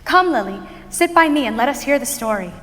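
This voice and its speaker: An actress playing a stately, welcoming night spirit with formal theatrical delivery in a reverberant auditorium. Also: female voice, high voice, lively intonation, brisk. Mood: solemn, welcoming. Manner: formal, storybook phrasing. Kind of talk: theatrical stage acting. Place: large indoor high school auditorium. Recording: distant, reverberant auditorium, loud music. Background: loud music.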